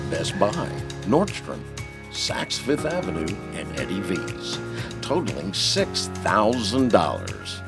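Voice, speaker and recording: A man reading a scripted promotional voice-over for an auction item in an upbeat announcer style. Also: fairly low pitch. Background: music.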